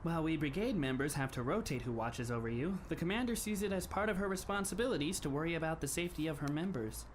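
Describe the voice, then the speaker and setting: A man in an anime fan dub playing a polite, composed teenage schoolboy who speaks in formal, measured phrases with a faintly wry touch. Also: on the high side, animated, a little hiss or hum, natural voice. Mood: gentle, wistful.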